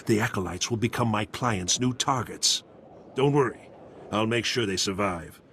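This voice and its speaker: An actor playing a shady alien bounty hunter in a video game, curt, defensive and matter-of-fact, with some background ambience. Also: male voice, on the low side, normal speed, fairly bright tone, a little hiss or hum. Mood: uneasy bargaining. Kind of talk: dramatic video game acting.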